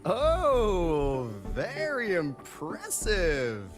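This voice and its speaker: A woman's voice: fairly low pitch, wildly swooping pitch, extremely slow, heavily acted. A woman playing an awkward, polite visitor in a video game, hesitant and placating in manner. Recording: game music behind.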